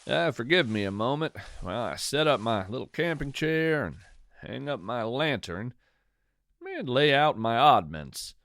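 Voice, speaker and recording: A man tells a cozy, folklore-tinged tale at a slow, measured pace, his pitch moving expressively through descriptive phrases. He slips in musing, conversational asides as he goes. The recording is dry and close, with no room sound. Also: expressive, creaky, fairly bright tone, no room sound. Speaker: podcast host and storyteller. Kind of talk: scripted first-person narration of cozy folklore fiction.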